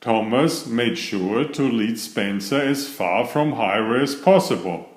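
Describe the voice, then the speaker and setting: Slow, expressive man reading in an echoey room. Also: mid-range voice. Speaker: storybook reader. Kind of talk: read-aloud children's bedtime story narration. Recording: roomy, echoey sound.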